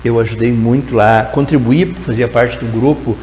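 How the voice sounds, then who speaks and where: A man's voice: mid-range voice, fairly even intonation, normal speed, a little mellow, echoey room, long reverb tail, slight background noise. A man, a guest educator answering in a TV interview, explaining at length and tagging his sentences with "né?". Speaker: guest educator in teacher training.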